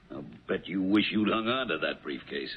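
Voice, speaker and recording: An actor playing a jovial, shrewd police lieutenant in a 1970s radio drama. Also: male voice, low-pitched, lively intonation, measured pace. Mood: jovial, teasing. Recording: tinny, noisy 1970s radio broadcast.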